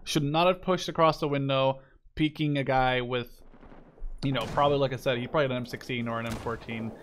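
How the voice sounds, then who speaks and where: A man's voice: mid-range voice, fairly even intonation, fairly quick. A young man giving casual, rambling commentary while playing a shooter game, swearing now and then. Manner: rambling, swears when killed. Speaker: gameplay commentator. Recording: game gunfire noise behind voice. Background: loud gunfire and artillery from the game.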